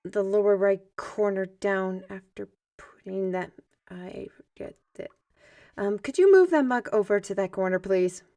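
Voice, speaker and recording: Woman playing an expressive, theatrical fantasy artist mage. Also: mid-range voice, a little slow, close to an everyday voice. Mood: busy muttering. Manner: expressive, formal old-fashioned phrasing, muttered asides and sighs. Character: lively, theatrical artist mage with a magic paintbrush, storytelling mentor. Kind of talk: fantasy audio roleplay, ASMR.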